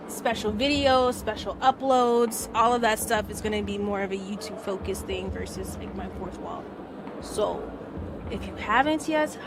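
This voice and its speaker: A woman's voice: a little high, background noise. A woman live streamer chatting casually and slangily to her viewers.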